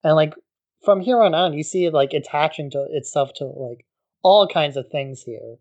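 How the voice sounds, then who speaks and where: A man co-hosting a podcast, chatting in a relaxed way and leaning on "like" as a filler. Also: a little high, normal speed, muffled recording. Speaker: podcast co-host. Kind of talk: casual chat.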